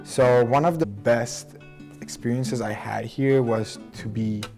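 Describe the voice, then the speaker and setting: A man's voice: mid-range voice, fairly even intonation, music in the background. A young man from Iran with a Persian accent, speaking earnestly and thoughtfully about his own life in a documentary interview, sometimes restarting his sentences. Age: young adult. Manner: earnest, measured, with self-corrections. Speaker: documentary subject. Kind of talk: reflective personal interview.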